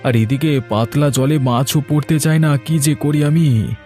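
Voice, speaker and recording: A man's voice: fairly high pitch, a little slow, warm tone, soft background music, natural voice. Man playing a plaintive, downhearted poor fisherman. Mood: dejected. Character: poor, downhearted fisherman lamenting his luck. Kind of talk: cartoon dialogue acting.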